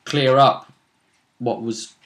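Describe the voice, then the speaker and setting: A man's voice: mid-range voice, fairly even intonation, slow, a bit bright. A man talking casually and bluntly as a game master going over a tabletop role-playing session. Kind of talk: casual chat.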